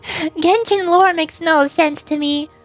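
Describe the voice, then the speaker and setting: A woman's voice: very high voice, takes it slowly, soft background music. A woman streaming a game, chatting casually and reading lore aloud in a giggly, easily puzzled, self-deprecating manner.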